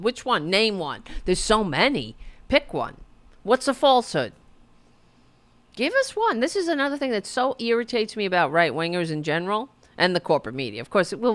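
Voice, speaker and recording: A woman's voice: medium pitch, a bit bright. A woman hosting a podcast with casual political commentary, sarcastic, mocking and profane, often exasperated and repeating her demands. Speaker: podcast host.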